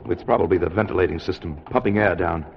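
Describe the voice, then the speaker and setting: A man's voice: low voice, fast. A man acting a resolute scientist in a 1950s radio drama, urgent and authoritative, with a declamatory delivery. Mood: grave. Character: resolute, commanding male scientist leading an expedition. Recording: muffled, noisy old radio broadcast.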